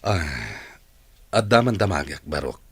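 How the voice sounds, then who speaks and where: An actor playing a deep-voiced boss who confides in his young employee in a radio drama. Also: expressive, a little slow, breathy edge, exaggerated voice. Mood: grave, pleading. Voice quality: deep, low voice. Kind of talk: Philippine radio drama acting.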